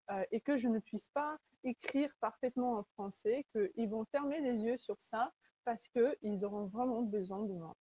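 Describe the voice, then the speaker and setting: A woman's voice: on the high side, warm tone, breathy edge, no echo, poor audio. A woman, hosting a webinar in an earnest, conversational way and often searching for her words. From Kazakhstan. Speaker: webinar host, Salesforce consultant. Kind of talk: webinar presentation.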